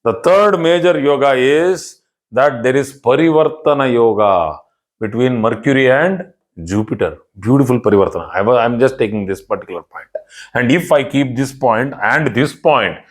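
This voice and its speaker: A man's voice: medium pitch, animated, normal speed. A man with an Indian English accent, a native Kannada speaker, teaching an online astrology class in an explanatory, emphatic way and repeating key phrases. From southern India.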